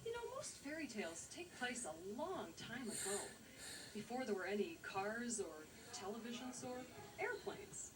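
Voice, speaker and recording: A woman presents a children's educational show, explaining things clearly as if to young children. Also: fairly high pitch, very animated, moderate pace, far from the mic, background noise. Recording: loud music behind, old VHS tape. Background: music.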